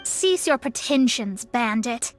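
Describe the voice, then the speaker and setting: A woman voicing a proud, sharp-tongued young female astrologist in a video game, with haughty, formal, theatrical phrasing. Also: fairly high pitch, expressive, slow. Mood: haughty, challenging. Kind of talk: English video game voice acting. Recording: game audio over background music.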